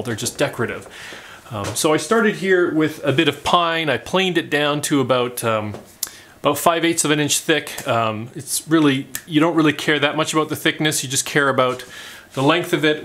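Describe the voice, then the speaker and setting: A middle-aged man from Canada hosting a woodworking channel, explaining a small craft project in a relaxed, chatty way with plenty of "uh"s and "um"s, in his home workshop. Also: a little high. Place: home woodworking shop, indoors.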